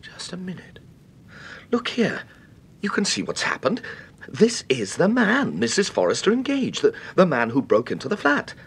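An actor playing an insistent, indignant but fussily polite British gentleman in a radio drama. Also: sits high, wildly swooping pitch, moderate pace, husky, full character voice.

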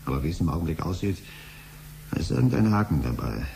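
A man's voice: sits low, sing-song, fast, gravelly, a little mellow, slight background noise, heavily acted. An actor playing a frustrated, pushy middle-aged father in a 1970s German radio play, grumbling and insistent.

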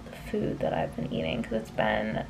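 A woman's voice: a bass voice, moderate pace, gravelly, poor audio. A young woman in her twenties chatting casually to camera from a small apartment room, laughing often as she rambles through her day. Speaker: vlogger. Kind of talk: casual vlog chat. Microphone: camera on a desk, later handheld camera. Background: background music.

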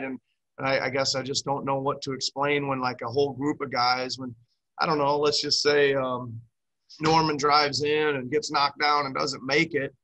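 A man's voice: medium pitch, bright, forceful tone, rough recording. A middle-aged man, a basketball head coach, answering questions at a post-game press conference over a video call, rambling and restarting his sentences. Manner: rambling, repeats words and restarts. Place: small room before a team backdrop. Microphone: video call webcam.

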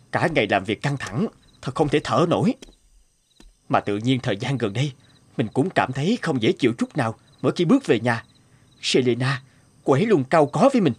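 A man's voice: mid-range voice, animated, moderate pace, pauses often, husky. A man voicing a weary, aggrieved young husband and father in a cartoon, grumbling and complaining. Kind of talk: cartoon dialogue dubbing in Vietnamese.

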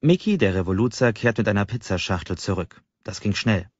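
A man's voice: on the low side, animated, brisk, slightly rough, no room sound, lo-fi sound. A man narrating a first-person novel as an audiobook in a scripted literary reading style.

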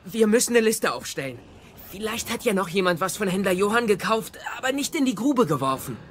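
A young man playing an earnest, responsible teenage Viking boy in an animated audio drama. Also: high voice, fairly quick, a little hiss or hum.

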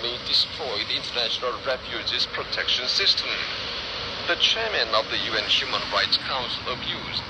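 A man's voice: mid-range voice, animated, normal speed. A man reading scripted news in a formal, stern, declamatory manner, heard over shortwave radio from a handheld receiver's speaker. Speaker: radio newsreader. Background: heavy radio static and hiss.

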